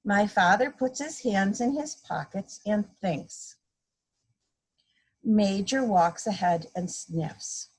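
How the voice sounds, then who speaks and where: A woman's voice: mid-range voice, slow pace, slightly rough, low-quality recording. A woman reading a picture book aloud to young children, explaining things simply and playfully acting out sound effects. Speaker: guest storybook reader, a mother. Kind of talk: read-aloud children's story time, simple teacherly explaining.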